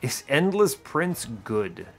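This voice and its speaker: Slow-paced man doing dry gameplay commentary. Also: on the high side, sing-song, creaky. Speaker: gaming video host. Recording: music behind the voice.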